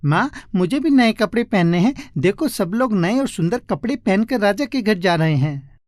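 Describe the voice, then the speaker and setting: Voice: medium pitch, lively intonation, moderate pace, a little mellow, no room sound, clean studio sound, close to an everyday voice. A performer voicing a poor little boy in a moral story, eager and insistent, with a whining way of talking. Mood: pleading.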